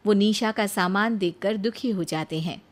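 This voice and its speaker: A woman's voice: mid-range voice, normal speed. A woman narrating a scripted moral story as a storyteller.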